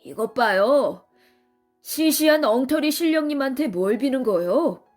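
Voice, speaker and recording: A woman's voice: sits high, lively intonation, a little slow, soft background music, voice pushed up. A woman voicing an arrogant storybook man who scoffs at others in a brash, contemptuous manner. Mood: scornful.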